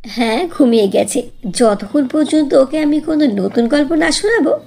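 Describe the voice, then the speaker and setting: A woman plays a patient young cartoon mother with a high voice. She coaxes and gently corrects, moving expressively up and down in pitch with a playful touch. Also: animated, normal speed, creaky. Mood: light teasing. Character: patient, playful young village mother telling bedtime stories. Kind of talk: Bengali cartoon dubbing.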